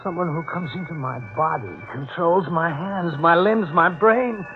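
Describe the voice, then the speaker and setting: An actor playing a tormented, deranged killer in a 1940s radio horror drama, intense and haunted. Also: male voice, fairly high pitch, normal speed, narrow, tinny audio, soft background music, natural voice. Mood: crazed confession. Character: tormented, deranged male killer mourning his love. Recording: thin, band-limited old radio broadcast recording.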